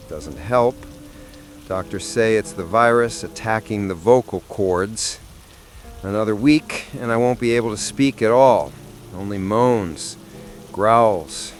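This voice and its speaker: An actor playing an ailing, infected history teacher in his fifties, recording a weary audio diary in halting, fragmented phrases. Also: on the low side, slight background noise. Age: 50s. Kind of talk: dramatic first-person audio diary. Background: music and rain.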